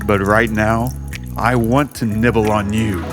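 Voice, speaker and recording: An actor playing a flirtatious, doting billionaire husband in an audio romance drama, with a playful, seductive manner. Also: male voice, fairly low pitch, steady tone, unhurried, music in the background, natural voice.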